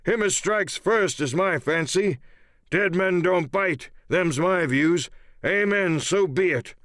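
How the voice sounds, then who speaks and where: A man voicing a wounded, sly old pirate coxswain with rough rustic sailor's speech, in a dramatised audiobook. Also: high-pitched, takes it slowly, fairly bright tone, no echo, studio quality, voice pushed up. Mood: solemn, sly. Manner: sly, blunt, commanding.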